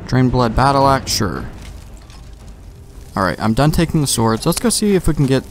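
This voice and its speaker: A man giving casual, rambling gameplay commentary, thinking aloud with some mild swearing. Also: mid-range voice. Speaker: let's play host. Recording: game music faintly behind. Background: faint game music.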